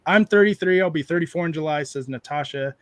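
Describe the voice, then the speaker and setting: A man in his thirties hosts a loose livestream chat, rambling from one joke to the next as he reads out messages from chat. His voice sits a little high for a man. The sound is dry and close, with no room around it. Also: fast talker, dry recording. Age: 30s. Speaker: livestream host.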